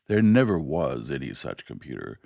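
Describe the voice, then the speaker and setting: A man voicing a frail old army general, firm, dismissive, gravelly, lively pitch. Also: normal speed, no echo, studio quality, heavily acted. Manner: firm, categorical denials.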